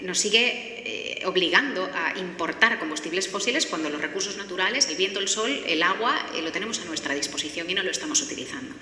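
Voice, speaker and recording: In a reverberant hall with faint noise, a woman with a Spanish accent from Spain gives a public presentation. Her voice is bright and forceful, slightly low for a woman. She explains things in an orderly way, pausing to find words. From Galicia, Spain. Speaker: lawyer giving a forum talk. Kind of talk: semi-formal public presentation. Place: indoor forum hall.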